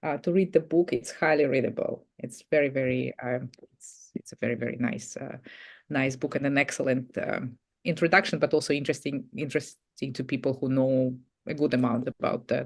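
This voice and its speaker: Gravelly webinar moderator. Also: mid-range voice, steady tone. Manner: halting, many "uh"s, frequent murmured backchannels. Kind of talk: hosting a webinar Q&A.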